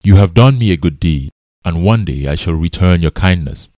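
A man reads as a storybook elephant, a sly minister of the jungle. The voice is low and dry, smooth in manner, superior and sure of its own importance. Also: lively intonation, normal speed, dark tone, no echo. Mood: smug. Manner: soothing, authoritative, superior.

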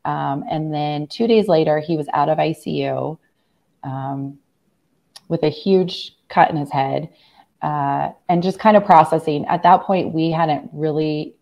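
A young adult woman, hoarse from laryngitis, earnestly recounting a personal family story in a conversation, with many fillers and restarts. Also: deep voice, unhurried, warm tone. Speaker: guest.

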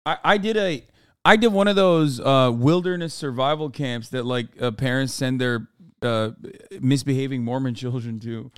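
A man's voice: medium pitch, moderate pace, fairly bright tone, clean tone, no room sound, clean studio sound. A man co-hosting a podcast, chatting casually, swearing freely and telling rambling stories with laughs. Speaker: podcast co-host. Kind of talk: casual chat.

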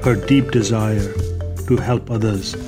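A man hosting an interview show, reading a measured introduction and asking questions. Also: fairly low pitch, steady tone. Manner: measured, reads guest intro. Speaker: podcast host. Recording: music bed behind voice.

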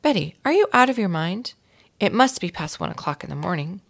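A woman voicing a young female schoolteacher, softhearted but doubtful, in a storybook reading. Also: lowish voice, big pitch swings, fairly quick, gravelly, heavily acted. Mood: groggy, scolding.

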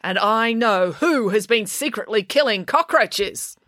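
Young girl, loud and bossy, played by a woman narrator; high, swooping, dramatic storybook delivery. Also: sing-song, moderate pace, no echo, clean studio sound. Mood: bold, declaring. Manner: emphatic, exclamatory, dramatic.

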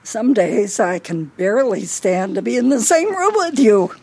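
Casting: woman to play bossy older American wife in radio play, fussy and scolding, slightly raspy, lively pitch swings. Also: measured pace. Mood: exasperated, scolding.

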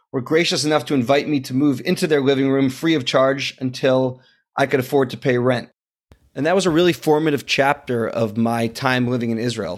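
A man in his thirties hosting a podcast interview, reading a prepared introduction and asking questions. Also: medium pitch. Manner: scripted introduction, friendly questions. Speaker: podcast host.

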